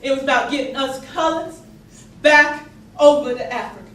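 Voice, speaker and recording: A woman's voice: high voice, fairly bright tone, far from the mic. A woman playing an earnest young enslaved woman from the American South, telling her story in a folksy, emphatic theatrical monologue with a Southern accent. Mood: bitter, plaintive. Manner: folksy, emphatic, halting storytelling with dramatic swells. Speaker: stage actor. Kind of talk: theatrical monologue, 19th-century period storytelling. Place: indoor theatre stage, recorded from a distance. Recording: echoey theatre stage from afar, noisy.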